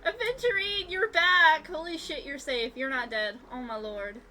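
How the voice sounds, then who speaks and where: A woman game streamer reacting casually to live gameplay in an excitable, exclamatory way. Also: sits high, moderate pace, a little hiss or hum. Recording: game music behind the voice.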